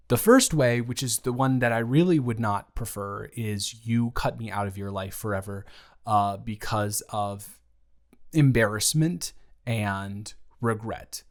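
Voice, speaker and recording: A man speaking in an improvised roleplay audio, his voice sitting in a middling pitch. He talks slowly and chooses his words with care, pausing often. His tone stays soft and reassuring throughout. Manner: slow, careful, measured speech with pauses.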